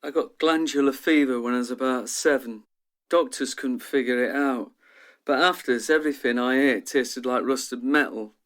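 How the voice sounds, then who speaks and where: A man's voice: mid-range voice, normal speed, a bit bright, close to an everyday voice. An actor playing a troubled, self-deprecating young male patient in a radio drama, talking in a downbeat, matter-of-fact, colloquial way. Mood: resigned. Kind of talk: radio drama monologue, interview-like.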